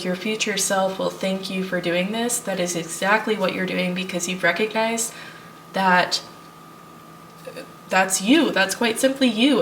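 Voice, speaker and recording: A woman's voice: medium pitch, a bit bright, recorded from a distance, a little hiss or hum, rough recording. Stuffy-nosed woman doing tarot reading. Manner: thinking aloud, reassuring, addresses viewers as piles. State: stuffy from a cold. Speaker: tarot reader. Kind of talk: pick-a-card tarot reading.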